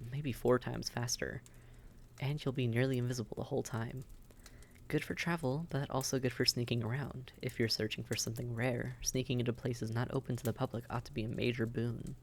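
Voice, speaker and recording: A man's voice: medium pitch, natural voice. A man playing a friendly, worldly vampire innkeeper who chats and gives reassuring advice straight to the listener in a roleplay audio drama. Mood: encouraging. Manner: chatty, reassuring, advising.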